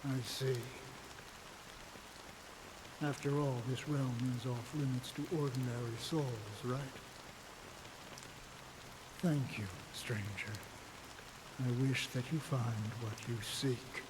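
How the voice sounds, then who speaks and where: An actor playing a solemn, weary war veteran in a video game, speaking in a grave, deliberate way. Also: male voice, medium pitch, fairly even intonation, natural voice. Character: solemn, weary war veteran guiding lost souls of fallen comrades. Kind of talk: scripted video game drama. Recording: game audio with rain noise behind.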